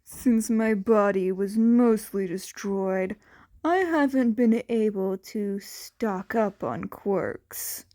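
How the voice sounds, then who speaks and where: Smug, unhurried supervillain man for a story reading, played by a female narrator; polite on the surface, menacing underneath, measured delivery. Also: mid-range voice, dry recording, clean studio sound, close to an everyday voice. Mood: calm menace. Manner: calm, mock-apologetic.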